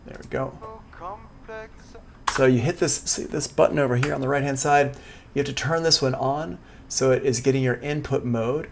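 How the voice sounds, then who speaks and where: Gravelly man giving a casual software tutorial. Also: medium pitch, fairly even intonation, moderate pace, a little hiss or hum. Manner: casual, informal. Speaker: music production instructor. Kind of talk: live software tutorial, thinking aloud. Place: indoors, livestream class.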